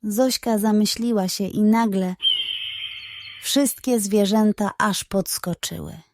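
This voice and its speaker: A woman's voice: mid-range voice, slow pace, darkish tone. A woman narrating a children's audiobook as storyteller, expressively.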